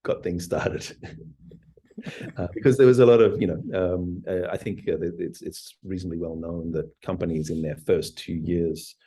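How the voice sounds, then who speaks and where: Low husky-voiced man, hesitant and reflective. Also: steady tone, normal speed, dark tone. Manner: hesitant, many fillers and restarts, reflective. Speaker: startup CEO guest. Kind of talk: interview answers.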